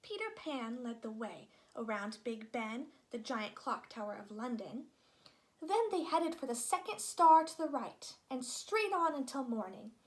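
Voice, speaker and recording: A woman reading a children's story aloud as an expressive narrator. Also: on the high side, a little slow. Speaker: storybook reader.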